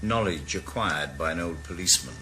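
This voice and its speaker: A retired Welsh ex-cop in a radio mystery, narrating with dry, weary wit. His voice sits low yet moves a lot. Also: animated, a little fast, bright, forceful tone, soft background music, exaggerated voice. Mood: wry. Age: older. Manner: dry, wry, world-weary. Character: retired male ex-policeman turned private eye, wry. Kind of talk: radio drama with first-person narration.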